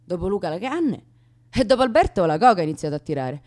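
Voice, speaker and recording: A woman's voice: on the low side, very animated, fast, darkish tone. An actress playing a wounded, brazen young woman confessing her troubled past in a theatrical, emotional radio monologue full of sighs, gasps and abrupt swings. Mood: disillusioned.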